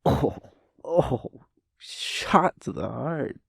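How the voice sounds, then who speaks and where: A man playing a sleepy, playful boyfriend in an intimate audio roleplay, theatrical and mock-dramatic, with breathy, hushed asides. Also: medium pitch, very animated, drawn-out words, dry recording, clean studio sound, exaggerated voice. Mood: mock offended.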